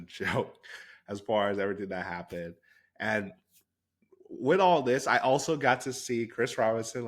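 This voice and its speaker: A man's voice: lowish voice, fairly bright tone. Congested, nasal man hosting a podcast. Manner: candid, conversational storytelling with fillers. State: congested from a head cold, slightly nasal. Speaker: podcast host. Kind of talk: solo podcast monologue.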